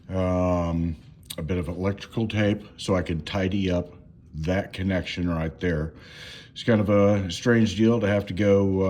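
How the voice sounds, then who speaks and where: A man giving a casual, unscripted hands-on walkthrough at home, speaking haltingly with frequent pauses mid-sentence. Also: deep voice, dark tone. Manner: halting, hesitant, pausing often mid-sentence. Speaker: home DIY reviewer. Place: indoors at home.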